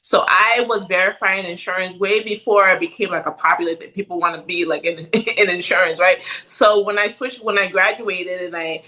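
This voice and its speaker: Middle-aged woman from Ghana, podcast guest, animated and conversational, with a bright tone. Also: lowish voice, normal speed, hardly any pauses, low-quality recording. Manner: animated, frequent "right?" tag questions. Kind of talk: casual interview chat.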